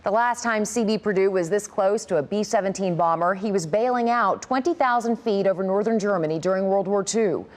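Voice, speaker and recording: A woman's voice: mid-range voice, brisk, hardly any pauses. An American woman speaking as a television news anchor. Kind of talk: news anchor introduction and brief chat.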